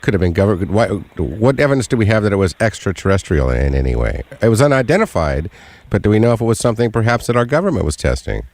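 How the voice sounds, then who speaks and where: A lively male interviewer with a lowish voice, talking quickly and close in a dry studio sound. He probes casually, cutting in with short interjections and follow-up questions. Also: no room sound. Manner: probing, interjects. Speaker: radio show interviewer. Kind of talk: casual questioning on a talk show.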